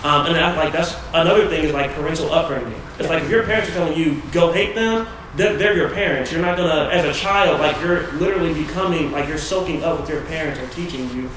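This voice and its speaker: A laid-back young man on a student panel whose voice sits a little high. He sprinkles "like" through everything he says. He sounds distant from the mic in a very echoey room. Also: quick pace, hardly any pauses, a bit bright, slight background noise. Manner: laid-back, fills speech with "like". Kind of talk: casual panel discussion.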